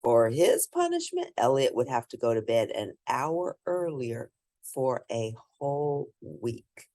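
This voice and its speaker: Animated low-voiced woman reading to children. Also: slow. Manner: animated, emphatic storytelling. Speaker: children's book reader and host. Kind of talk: expressive read-aloud of a picture book with asides to young listeners.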